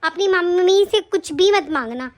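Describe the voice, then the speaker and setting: A soft-hearted old grandmother who frets and speaks gently and slowly to her family, as a woman acts her out. Her voice sits high and a little strained. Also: animated. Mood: fretful. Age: older. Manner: gentle, fretful. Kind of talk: comic family skit acting.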